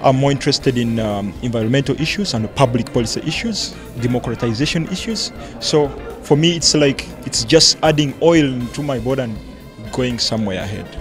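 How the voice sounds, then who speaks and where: A man's voice: medium pitch, normal speed, over music. A young man from Uganda, a student activist, answering interview questions confidently and fluently. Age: young adult. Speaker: conference participant, student activist.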